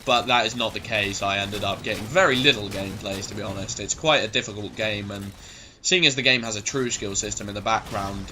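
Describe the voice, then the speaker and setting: A man in his twenties giving rambling, sweary gameplay commentary, his voice going a bit hoarse. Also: lowish voice, brisk, sharp tone, a little hiss or hum. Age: 20s. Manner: rambling, swears a lot, goes off on tangents. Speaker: gaming commentator.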